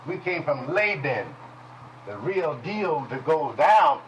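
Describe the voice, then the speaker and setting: A man teaching a congregation in a preaching style, folksy and call-and-response, with speech, dropping at times to low murmurs. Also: big pitch swings, takes it slowly, slight background noise, rough recording. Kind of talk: preaching Bible lesson. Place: congregation hall.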